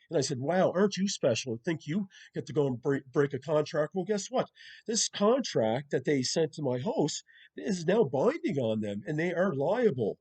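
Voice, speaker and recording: A man's voice: fairly high pitch, dark tone, slightly rough. A man arguing as a caller in an insistent, repetitive and combative manner, full of legal jargon. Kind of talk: confrontational phone argument with legal jargon.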